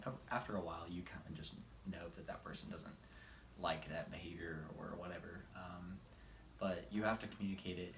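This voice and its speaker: A man in his twenties chatting casually and earnestly as he answers viewer questions as a husband. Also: deep voice. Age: 20s. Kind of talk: casual couple Q&A chat. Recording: echoey room with background noise.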